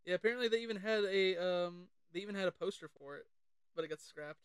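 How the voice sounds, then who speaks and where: A man co-hosting a casual film discussion, sharing trivia in a chatty, halting way and often repeating his phrases. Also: sits high, clean tone, dry recording. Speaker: podcast co-host.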